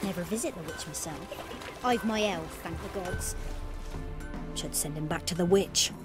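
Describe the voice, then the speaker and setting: Game peasant wife, female actor: rustic British nag, fairly low pitch, chatty and complaining, over music. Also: unhurried, close to an everyday voice. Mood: grumbling, chatty. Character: nagging, gossipy peasant wife. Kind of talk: video game voice acting.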